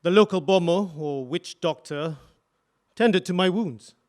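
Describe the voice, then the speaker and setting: A man plays a grave widower testifying in a courtroom play. His voice is high for a man, and he speaks earnestly, with careful, solemn precision. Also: measured pace. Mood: grave, haunted. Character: grave, earnest male electrical engineer, widowed war witness.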